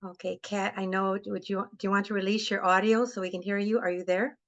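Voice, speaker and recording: A woman's voice: medium pitch, flat delivery, a little fast. A woman hosting a webinar on a video call, prompting the speakers in a friendly way and laughing often. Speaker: webinar host. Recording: slightly muffled video call audio.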